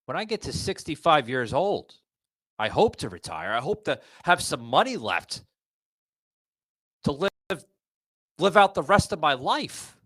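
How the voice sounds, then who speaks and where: A man's voice: a little high, big pitch swings, unhurried, pauses often, a bit bright, no echo. A man in his forties hosting a podcast, rambling and repeating himself as he talks to his listeners. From Florida. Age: 40s. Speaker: podcast host. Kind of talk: casual rant monologue.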